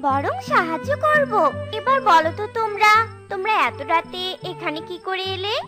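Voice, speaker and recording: Woman as talking taro plant, very high voice, gentle reassuring manner, children's cartoon, music behind. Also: lively intonation, normal speed. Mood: soothing.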